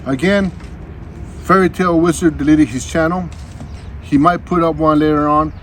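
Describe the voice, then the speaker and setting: A man's voice: fairly high pitch, steady tone, moderate pace, a bit bright, a little hiss or hum. A man hosting a casual unboxing vlog, chatting to viewers in a folksy, slangy, laid-back way. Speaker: vlog host.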